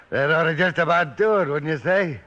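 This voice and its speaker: An actor playing a gloating, scheming outlaw henchman with a gravelly voice in an old radio western. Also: on the high side, moderate pace, bright, forceful tone, muffled recording. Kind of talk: 1950s radio western acting.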